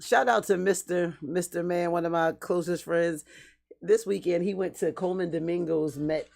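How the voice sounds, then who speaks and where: A woman chats casually on a podcast with a gravelly, creaky edge to her voice. She keeps things light, laughing between her remarks. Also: on the low side, moderate pace, a little mellow. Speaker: podcast co-host. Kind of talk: casual chat.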